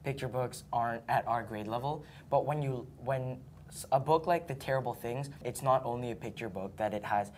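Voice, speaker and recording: A man's voice: mid-range voice, moderate pace, a little mellow, slight background noise. An eighth-grade boy answers questions about a class lesson. He speaks earnestly and restarts his sentences as he searches for words. Faint room ambience hums behind him. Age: young teen. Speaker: student. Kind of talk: classroom interview.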